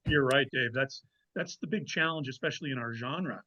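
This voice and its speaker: Dull lo-fi recording, man, bright forceful voice, guest author, agreeable, measured explanations. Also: mid-range voice. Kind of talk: casual interview chat. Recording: dull lo-fi call audio.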